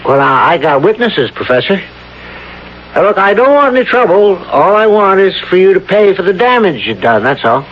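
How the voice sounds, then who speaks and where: Slangy american small-town barkeep, kinda high voice, wary, old radio drama, fuzzy lo-fi. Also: male voice, sing-song, normal speed. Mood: warning, insistent. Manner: folksy, slangy, hesitant with uhs. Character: rough small-town male bar owner, wary, slangy, insinuating. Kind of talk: vintage radio drama acting. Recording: dull lo-fi old radio broadcast, faint noise.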